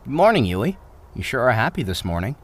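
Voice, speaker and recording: A man playing a reserved, matter-of-fact high-school boy in a manga dub, with a laconic, understated manner. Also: very animated, fairly quick.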